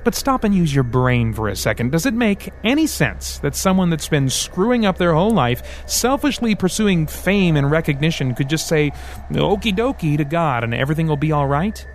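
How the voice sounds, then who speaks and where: A man voicing a smooth, sarcastic male devil who teases and threatens by turns in an audiobook. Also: on the high side, sing-song, moderate pace, slight background noise, voice pushed up. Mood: taunting. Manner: teasing, sarcastic, theatrical. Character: sly mocking male devil, smooth, menacing.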